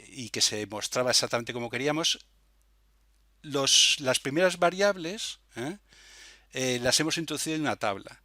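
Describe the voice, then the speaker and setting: A man walks through a software demo in a forceful, bright voice at a middling pitch. He explains step by step without a script, hesitating and adding little confirmation tags. The sound is dry and close. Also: no room sound. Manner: hesitant, frequent verbal tags seeking confirmation. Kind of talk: unscripted screencast tutorial, explaining step by step.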